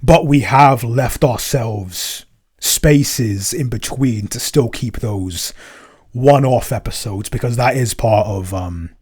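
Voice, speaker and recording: A man's voice: mid-range voice, slow pace, darkish tone, husky. A man, one of a podcast's creators, chatting casually and unscripted as he answers questions, hesitant and often rephrasing mid-sentence. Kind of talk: casual unscripted behind-the-scenes chat.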